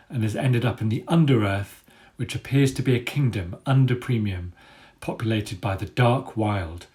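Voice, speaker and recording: A man, an author telling and reading a children's adventure story aloud in an expressive storytelling style. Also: on the low side.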